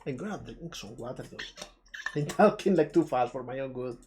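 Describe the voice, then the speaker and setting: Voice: mid-range voice, lively intonation, a little fast, dark tone. A native Spanish speaker with an Andalusian accent from southern Spain, hosting a live stream with casual, chatty commentary on game trailers. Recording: music playing behind the voice.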